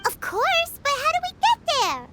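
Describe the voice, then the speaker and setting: An actress voicing a tiny, perky, cheeky fairy girl in a video game, with a squeaky childlike voice. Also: wildly swooping pitch, moderate pace, bright, forceful tone, full character voice. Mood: perky, curious. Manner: refers to self in third person. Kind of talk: video game character acting.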